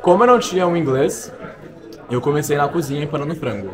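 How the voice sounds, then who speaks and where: A man's voice: fairly high pitch, fast talker, crowd noise. A young man from Minas Gerais, an exchange student chatting and joking about his life abroad in a pub. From Minas Gerais, Brazil. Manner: jokey, chatty, self-mocking. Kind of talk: casual interview. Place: pub, indoors.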